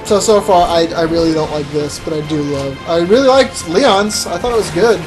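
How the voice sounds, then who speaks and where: A man's voice: a little high, lively intonation, moderate pace, poor audio. A man co-hosting a gaming podcast, casually musing and critiquing as he plays. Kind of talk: casual chat over co-op gameplay. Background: game music and action sounds.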